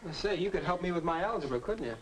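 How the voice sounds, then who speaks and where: Awkward 1950s teenage boy, lively pitch. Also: quick pace. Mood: polite, earnest. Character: awkward, grumbling teenage boy learning party manners. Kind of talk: 1950s educational film acting. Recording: noisy old 1950s film soundtrack.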